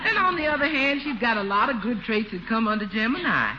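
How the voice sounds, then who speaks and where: An actress playing a good-natured, opinionated housekeeper in a 1940s radio comedy, folksy and emphatic. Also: female voice, on the high side, sing-song, fast. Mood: confident, cheerful. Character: good-natured, plain-spoken adult female housekeeper, confidently opinionated. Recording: dull, faintly noisy 1940s radio.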